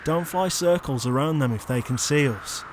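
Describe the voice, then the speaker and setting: A man's voice: a little high, normal speed, slight background noise, close to an everyday voice. A man playing an anxious, easily annoyed young ghost with a sarcastic manner, in a comedy audio roleplay. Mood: exasperated.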